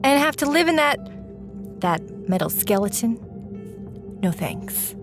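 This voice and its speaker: A woman's voice: a little high, sing-song, a little slow, music in the background. An actress playing a curious, playful, slightly squeamish young woman in an audio drama, casual and inquisitive. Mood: playfully squeamish.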